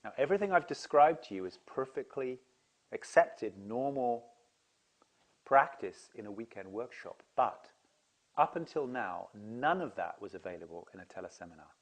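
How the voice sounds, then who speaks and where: A man's voice: medium pitch, expressive, moderate pace. A man who leads seminars, explaining to camera in an earnest, enthusiastic way. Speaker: seminar leader.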